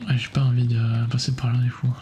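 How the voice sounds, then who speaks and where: A man's voice: medium pitch, level tone, a little fast, darkish tone, a little hiss or hum. A man streaming a video game live, muttering to himself and swearing often as he plays. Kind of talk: live gameplay commentary.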